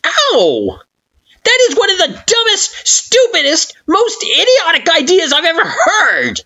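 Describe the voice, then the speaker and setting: A woman voicing an angry, sarcastic male flower monster with a shrill, sharp-tongued delivery. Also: sing-song, a little slow, breathy edge, heavily acted. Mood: scolding. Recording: dull lo-fi recording.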